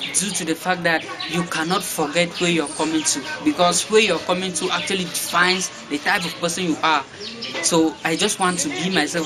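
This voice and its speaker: A young Cameroonian man with a Cameroonian English accent, giving interview answers with many fillers and restarts. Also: high voice. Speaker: guest. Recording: noisy background.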